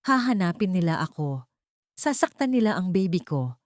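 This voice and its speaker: A woman's voice: lowish voice, very animated, moderate pace, no room sound, clean studio sound. A woman voicing a frightened, confused young pregnant woman. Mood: afraid. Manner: confused, trembling.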